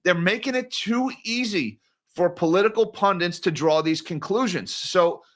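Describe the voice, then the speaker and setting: Exasperated high-voiced male commentator. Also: normal speed. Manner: animated, exasperated, ranting. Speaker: host. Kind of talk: live-stream news commentary.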